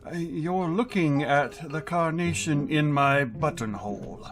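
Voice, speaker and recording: An actor playing a grouchy, pompous school principal in a comedic radio play, with a blustering manner. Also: male voice, a little high, a little slow, over music, natural voice. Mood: irritated. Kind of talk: comedic old-time radio play acting.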